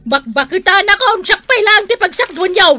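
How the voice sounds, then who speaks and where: A woman's voice: very high voice, lively intonation, moderate pace, pushed and bright, soft background music, exaggerated voice. A woman playing a nagging, grumbling elderly mother with an Ilocano accent, scolding and complaining in a comedic radio drama.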